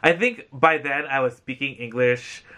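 A man in his thirties, from the Philippines, whose first language is Tagalog, chatting in a bubbly, animated way about thrift finds from his living-room sofa. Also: medium pitch, moderate pace. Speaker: vlogger. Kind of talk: casual thrift haul chat.

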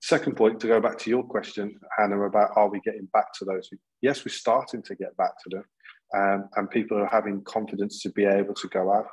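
Man, 30s, panel guest on a video call, steady flat delivery. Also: lowish voice, fairly quick, slightly rough, low-quality recording. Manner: earnest, many restarts and repeated words. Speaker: panel guest, school leader. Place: plain indoor room.

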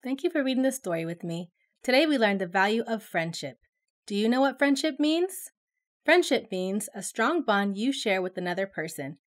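A woman, around her thirties, talking to young children in an instructive way as a story-time host. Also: medium pitch. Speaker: children's read-aloud channel host.

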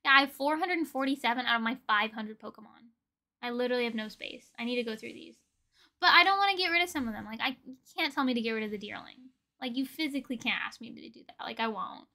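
Young female streamer, high-pitched and bright, playful slangy chat with viewers. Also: fast talker. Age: young adult. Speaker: livestream host. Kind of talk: casual livestream chat with viewers. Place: indoor room with desk and shelves of collectibles.

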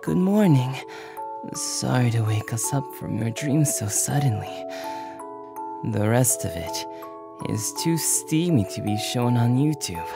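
A man hosting his channel, giving an upbeat, encouraging address to viewers in an animated, sing-song manner. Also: medium pitch, takes it slowly, creaky, over music. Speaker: voice actor and channel host.

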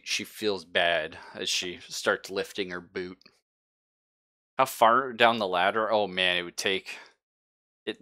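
A man's voice: on the low side, bright, forceful tone, dry recording, studio quality. A man narrating a casual tabletop game as game master, jokey and slangy. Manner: jokey, slangy, quick to laugh.

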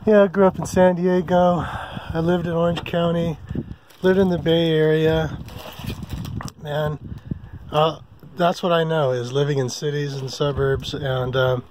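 A man hosting a casual camping vlog from a mountain meadow, talking in a relaxed, rambling way with many ums and uhs. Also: on the high side, measured pace, noisy background. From Hawaii. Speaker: vlog host. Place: open mountain meadow campsite outdoors.

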